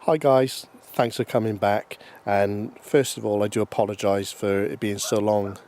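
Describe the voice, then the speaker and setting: A fairly low-voiced man vlogging in the open air of a mountain valley, his nose blocked up from hay fever. He rambles in a relaxed, chatty way, full of ums and little restarts. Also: a little slow. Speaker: landscape photographer. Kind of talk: casual outdoor vlog.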